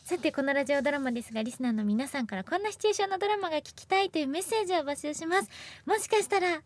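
High-pitched woman hosting, very animated. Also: rapid-fire. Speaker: radio show host. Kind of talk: bright scripted announcements. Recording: music behind the voice.

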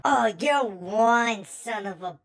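A woman voicing a hot-tempered, seductive succubus in an amateur comic dub, with a breathy, scornful drawl. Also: fairly high pitch, slow pace, noisy background. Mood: furious.

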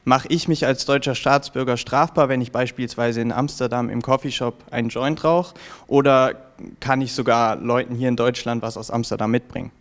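A young man with a medium-pitched voice hosts a TV interview. He delivers prepared questions into a handheld microphone in an indoor room. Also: fairly even intonation, normal speed. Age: young adult. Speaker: TV show host, interviewer. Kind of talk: scripted interview questions and presenting. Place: small indoor information room with bookshelves. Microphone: handheld reporter microphone.

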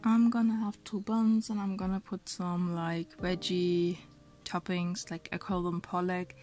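A woman's voice: on the low side, flat delivery, measured pace, mellow, faint music behind. Chatty young woman full of fillers. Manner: chatty, hesitant, many fillers. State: sounds sick. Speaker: vlogger. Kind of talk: casual talk to camera and food voice-over. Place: small bedroom, indoors.

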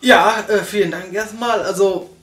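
A man's voice: sits high, fairly even intonation, normal speed, fairly bright tone, reverberant, faint music behind. A man hosting a casual review chat from a sofa, posing rhetorical questions to viewers. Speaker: host. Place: small living room, on a sofa. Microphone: far camera.

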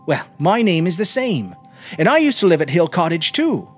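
Man acting an inquisitive, animated young sailor. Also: high-pitched, normal speed, breathy edge, soft background music, pitched above the performer's own voice, exaggerated voice. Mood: probing, surprised. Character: young seafaring man, inquisitive, returning home.

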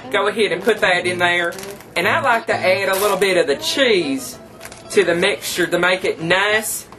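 A man's voice: sits high, normal speed, natural voice. Man acting as a campy thrifty trailer park woman, folksy cooking host, tongue-in-cheek, small kitchen, distant mic, music behind. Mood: folksy, cheerful instructing. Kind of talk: homemade cooking demonstration.

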